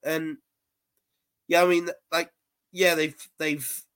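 Young male co-host, slightly high voice, rambling football chat full of restarts. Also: flat delivery, takes it slowly, a bit bright, clean tone. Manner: rambling, repeats words and restarts often. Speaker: podcast co-host. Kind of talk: casual football podcast chat.

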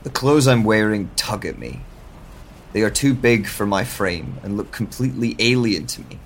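An actor playing a confused man with no memory in an audio drama, narrating in the first person in a measured, pensive, halting way. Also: lowish voice, expressive, slight background noise. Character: confused amnesiac man waking alone, searching for answers.

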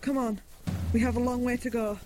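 Woman acting high-voiced, determined fantasy heroine. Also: flat delivery, fairly quick, close to an everyday voice. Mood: weary, practical. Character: determined, pragmatic female fantasy hero urging on a despairing comrade. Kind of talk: dark fantasy audio drama acting.

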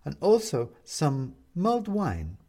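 Man putting on a gentle woman, lifted pitch. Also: wildly swooping pitch, slow, heavily acted. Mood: kindly, matter-of-fact. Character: friendly, slightly embarrassed woman neighbour named Renata.

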